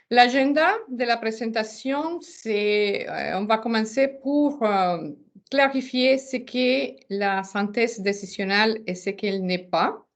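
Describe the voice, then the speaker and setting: A non-native French-speaking woman with a foreign accent presenting a webinar informally, searching for words and often repeating them. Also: mid-range voice. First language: not French.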